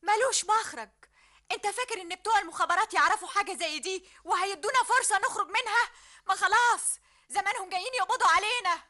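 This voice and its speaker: A shrill scheming spy girl. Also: fast talker. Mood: despairing. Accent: Egyptian. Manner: theatrical, swings from coaxing hush to shrill panic. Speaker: radio drama actress. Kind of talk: 1960s Egyptian radio drama acting.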